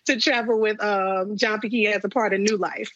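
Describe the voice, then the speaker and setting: Woman, late thirties, gospel singer, animated storyteller, lo-fi, dry. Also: medium pitch, moderate pace, dry recording. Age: 30s. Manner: animated storytelling, frequent laughter. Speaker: gospel singer and radio host, guest. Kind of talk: interview guest.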